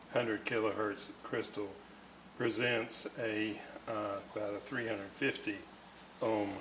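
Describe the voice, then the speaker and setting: A man with a fairly low voice talks very slowly over a faint background noise. He explains technical work step by step, unscripted, pausing to search for words. His delivery is methodical and halting. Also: fairly bright tone, poor audio. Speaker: radio restorer presenting repair videos.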